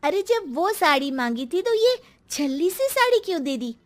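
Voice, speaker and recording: A woman's voice: extremely high pitch, sing-song, moderate pace. A woman playing a spoilt, rude college-age daughter in a dramatised story, petulant and mocking. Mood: petulant, angry. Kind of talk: dramatised Hindi moral story.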